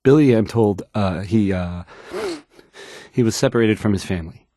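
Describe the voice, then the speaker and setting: An actor playing a nervous, bumbling, insecure young radio DJ in a game, hesitant and stammering through the news. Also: male voice, fairly low pitch, normal speed, warm tone, no echo, clean studio sound, natural voice. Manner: stammering, hesitant, self-doubting. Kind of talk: halting radio news announcing.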